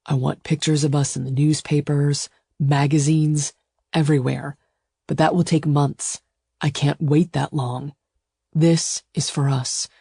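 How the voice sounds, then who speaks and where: A woman voicing a fierce, possessive male lover in a romance audiobook, with a low growl and an emphatic, insistent delivery. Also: level tone, measured pace, vocal fry, mellow, husky, no room sound, clean studio sound, exaggerated voice. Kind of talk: audiobook romance character dialogue.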